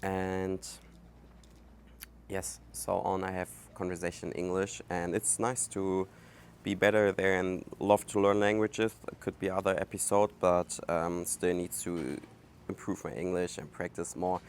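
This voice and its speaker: A German-accented man speaks slowly in a low, deep voice, pausing often and filling gaps with "um" and "like" as he thinks aloud. He hosts his own podcast, recorded outdoors with faint outdoor noise behind him. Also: level tone. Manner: halting, thinking aloud with many fillers. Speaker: podcast host. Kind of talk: casual solo podcast monologue. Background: outdoor ambience.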